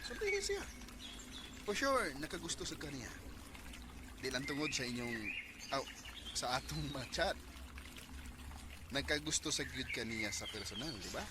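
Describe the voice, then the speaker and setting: A man's voice: medium pitch, sing-song, normal speed, pauses often, heavy background noise, lightly acted. A man acting an affectionate, playful older brother in a radio drama. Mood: sheepish.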